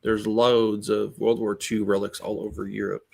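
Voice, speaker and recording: A man's voice: lowish voice, flat delivery, moderate pace, creaky, a little mellow, husky. A middle-aged man hosting a casual livestream, chatting with viewers in a rambling, self-deprecating, joking way. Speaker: Lego building livestream host. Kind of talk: casual chat with live viewers.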